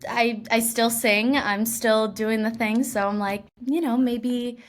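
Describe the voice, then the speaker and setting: A woman's voice: on the high side, fairly even intonation, takes it slowly. A young woman from Washington state, an actress being interviewed, talking casually with lots of "like" fillers and restarts. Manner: chatty, laughing, many "like" fillers and restarts.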